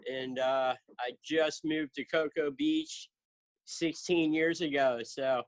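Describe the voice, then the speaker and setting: A man's voice: a little high, steady tone, takes it slowly. A man in his fifties, a guest in a casual interview, telling his story in an earnest, chatty way. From southern United States. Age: 50s. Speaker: guest, charity founder.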